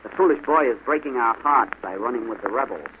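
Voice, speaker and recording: A man voicing a steady, quick-thinking young farmer in a story, firm and controlled. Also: mid-range voice, natural voice. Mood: calm bluffing. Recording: noisy, tinny old radio-like recording.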